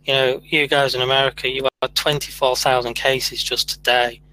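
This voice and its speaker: A man hosts a casual podcast and talks in a measured, earnest way, often pausing on fillers. His voice is bright and forward, with very little rise and fall. A faint hum sits under the recording. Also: medium pitch, rough recording. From United Kingdom. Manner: measured and earnest, steady delivery with many fillers. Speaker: podcast host. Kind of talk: casual chat.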